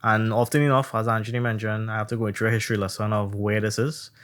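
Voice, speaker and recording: A man from Trinidad, co-hosting a casual chat and telling stories with animated delivery. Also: on the low side, quick pace. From Trinidad and Tobago. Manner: animated storytelling, acts out others' questions. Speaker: podcast co-host.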